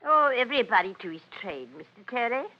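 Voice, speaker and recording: Servant cook, high-pitched, swooping, bustling, deferential yet firm, old radio play, muffled. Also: female voice, sing-song, fast talker. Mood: indulgent. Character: plain-spoken female household cook, indulgent but firm. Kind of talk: 1940s-50s radio drama acting. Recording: dull old radio broadcast.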